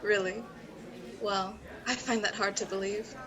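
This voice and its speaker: A woman playing a grieving middle-aged mother in an amateur online drama, speaking in a measured, soft way. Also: mid-range voice, fairly even intonation, crowd noise, close to an everyday voice. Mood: disappointed.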